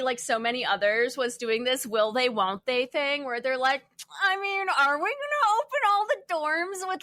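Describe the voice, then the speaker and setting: A young adult woman chatting casually as a podcast panelist, telling things in an informal, wry and animated way. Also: sits high. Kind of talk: casual chat.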